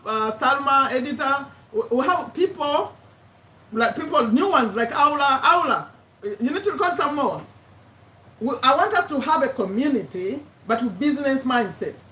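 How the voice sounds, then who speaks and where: A woman in her fifties hosts a live stream in a chatty, self-correcting way, laughing often. Her voice sits high. It is picked up from a distance in an echoey room with a faint hum behind it. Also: pushed and bright. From Uganda. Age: 50s. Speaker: YouTube live host, business owner. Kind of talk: casual live-stream talk.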